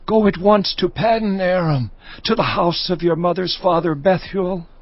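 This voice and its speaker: A man's voice: high-pitched, moderate pace, no echo. A man playing an old, frail blind father, solemnly giving blessings in a dramatized audio Bible. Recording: dull lo-fi sound, faint music.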